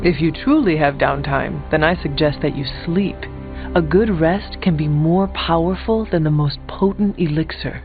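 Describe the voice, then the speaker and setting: Guy voicing calm solemn old-world warrior in a game, highish male voice, formal, tinny band, music behind. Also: lightly acted. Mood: calm, dry. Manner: formal, measured, few contractions. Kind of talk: video game dialogue. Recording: phone-like thin audio, music behind.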